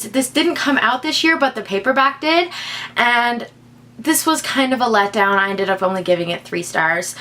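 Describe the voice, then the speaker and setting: High-pitched young woman chatting about books. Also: fairly bright tone. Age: young adult. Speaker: book vlogger. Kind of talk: casual chat to camera.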